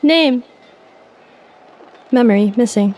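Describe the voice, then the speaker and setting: A woman voicing an amnesiac girl robot who speaks in clipped, flat status reports. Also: on the high side, slow. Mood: mechanical.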